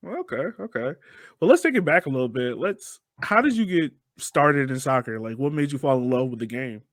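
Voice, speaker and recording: A man's voice: mid-range voice, animated, fairly quick, fairly bright tone, dry recording. American man hosting, dry close sound. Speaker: podcast host. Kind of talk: casual interview.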